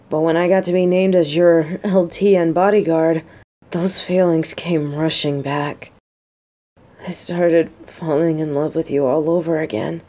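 Female soldier bodyguard for romance audio played by a woman: mellow, slow, halting delivery, tough front over a bashful, smitten heart. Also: sits low. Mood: wistful confession. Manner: halting, flustered, soft-spoken. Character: adult female military bodyguard, gruff but shy, secretly lovestruck. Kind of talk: scripted romantic audio roleplay.

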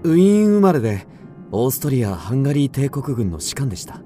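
An actor playing a gentle, weary young officer on the run, polite and measured, in a radio drama. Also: male voice, mid-range voice, sing-song, close to an everyday voice. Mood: polite, resigned. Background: music.

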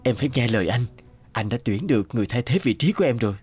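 A man voicing a wealthy company director in a cartoon, smooth-talking, patronising and dismissive. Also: mid-range voice, expressive, very fast, darkish tone, lightly acted. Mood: suave. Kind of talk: Vietnamese cartoon dubbing. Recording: guitar music under the voice.

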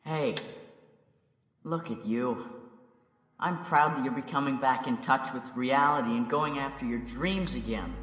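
A man's voice: mid-range voice, a little slow, long pauses, close to an everyday voice. A man playing a proud, supportive mentor in an amateur radio play, speaking encouragingly. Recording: echoey long reverb, loud music behind.

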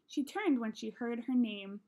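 A woman's voice: on the high side, lively intonation, a little slow, dark tone. A young woman reading a children's novel aloud as narrator, recorded in a small room at home. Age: young adult.

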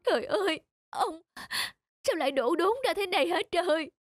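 A woman voicing a worried, nagging, middle-aged wife in an animated cartoon, reproachful and fretful. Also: extremely high pitch, moderate pace, no echo, studio quality, faint music behind. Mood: reproachful, upset.

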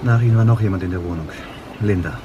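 An actor playing a dogged, old-fashioned police detective in a crime radio drama, dry, blunt and sarcastic. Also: male voice, low-pitched, quick pace, highs cut off, close to an everyday voice. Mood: grim, resolute. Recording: clear background vehicle and street noise.

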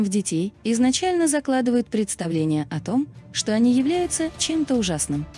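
A woman's voice: a little high, dark tone. A woman reading scripted, documentary-style narration. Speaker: video narrator. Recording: music behind the voice. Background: background music.

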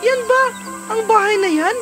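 An earnest little cartoon boy, brave and childlike. The voice is pitched very high, with music behind it. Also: moderate pace. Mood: excited. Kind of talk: fairy tale cartoon dialogue.

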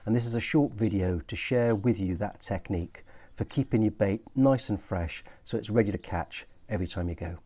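Older guy, low mellow voice, friendly and practical, talking in his garden on a lapel mic. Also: brisk. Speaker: angling presenter. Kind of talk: casual how-to explanation. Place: outdoor garden patio.

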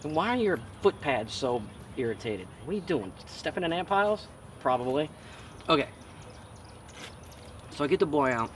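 A man's voice: fairly high pitch, moderate pace, pauses often. A middle-aged American man telling a personal story casually and bluntly, with plenty of profanity and acted-out dialogue, recorded outdoors. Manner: animated, blunt, acts out dialogue. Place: outdoors in a garden. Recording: slightly distant outdoor mic, background noise.

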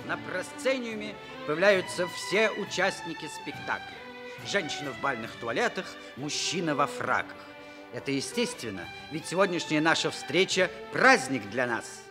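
A man narrates a stage play for Soviet radio. His voice is fairly high and lively in intonation, set over loud violin music. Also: normal speed. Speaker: radio announcer.

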